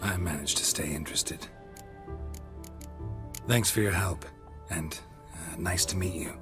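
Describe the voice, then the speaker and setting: Stoic male monster hunter for a fantasy game: very low, dry, curt, music behind. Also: measured pace. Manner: deadpan, terse. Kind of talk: video game dialogue acting.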